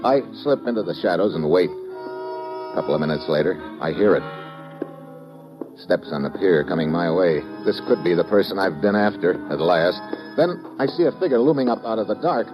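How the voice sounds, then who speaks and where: An actor playing a wry, tough investigator in an old-time radio drama, with clipped, slangy delivery and first-person narration. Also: male voice, low-pitched, animated, moderate pace. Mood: tense narration. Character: wry, tough, hard-boiled male investigator, quick with wisecracks. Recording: dull vintage radio recording with music.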